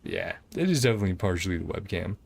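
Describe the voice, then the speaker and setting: A man's voice: sits low, expressive, rapid-fire, gravelly. A man streaming a video game, casually commenting and reading dialogue aloud over game music.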